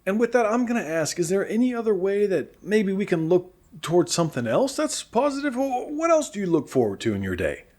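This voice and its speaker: A man's voice: sits high, sing-song, a little fast, hardly any pauses, darkish tone. A man playing a cheerful post-apocalyptic radio host in a comedic audio drama, earnest and encouraging. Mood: encouraging, patient. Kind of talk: scripted comedic radio show hosting.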